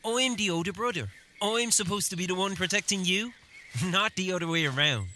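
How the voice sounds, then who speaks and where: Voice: mid-range voice, brisk, sharp tone, a little hiss or hum, close to an everyday voice. An actor playing a reckless but protective older brother, a young boy adventurer, earnest in an audio drama. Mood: earnest, wry.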